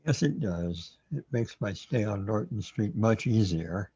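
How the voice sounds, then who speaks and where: A man playing a confused, paranoid, brooding man who lives inside a computer, with a husky voice, in an audio drama. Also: on the low side, lively intonation, a little slow, warm tone, no echo, exaggerated voice. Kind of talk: audio drama monologue and dialogue. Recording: dull, lo-fi, dry close recording.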